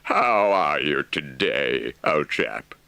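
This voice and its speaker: A man's voice: a bass voice, big pitch swings, slow, gravelly, pushed and bright, no echo, exaggerated voice. A man voicing a kindly, earnest rat, a loyal friend, in an audiobook. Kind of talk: audiobook character voice.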